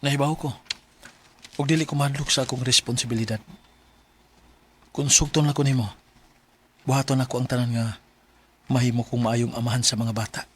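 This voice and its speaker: A man's voice: mid-range voice, fairly even intonation, a little fast, long pauses, fairly bright tone, breathy edge. A man acting an earnest, devoted young suitor in a radio drama, with a Cebuano Filipino accent. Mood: earnest, tender.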